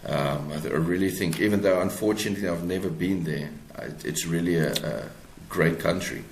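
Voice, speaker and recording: A man with a South African accent talking reflectively as an interview guest, haltingly, repeating words as he searches for his phrasing. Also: low voice, slight background noise. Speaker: guest author. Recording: roomy, echoing sound.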